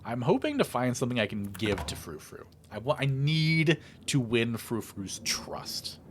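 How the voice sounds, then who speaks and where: A man's voice: medium pitch, unhurried, a little hiss or hum. A man narrating a video game playthrough, reading the text aloud theatrically and adding his own casual commentary. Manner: expressive, theatrical read-aloud delivery. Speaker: let's play host.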